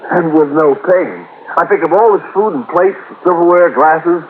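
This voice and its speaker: Glib, hearty young American played by an actor, tinny sound. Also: male voice, on the high side, normal speed, close to an everyday voice. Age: young adult. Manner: chatty, breezy, emphatic. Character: glib, hearty young nephew, smoothly candid. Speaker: actor in radio drama. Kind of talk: vintage radio drama acting. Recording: tinny, hissy old radio recording.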